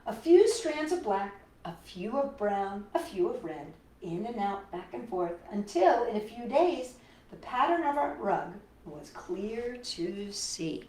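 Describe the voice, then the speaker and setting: Female storytime host, very lively pitch, expressive read-aloud with chatty asides, recorded at a distance in an echoey room. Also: measured pace. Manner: expressive, childlike wonder, rhetorical questions to listeners. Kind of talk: reading a picture book aloud to children with chatty asides. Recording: roomy echo, distant microphone.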